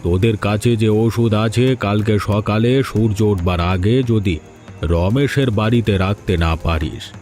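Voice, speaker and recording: Man voicing a deep, stern mystical village guardian. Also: a little slow, darkish tone, faint music behind, close to an everyday voice. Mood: grave warning. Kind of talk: dramatic dubbed cartoon dialogue.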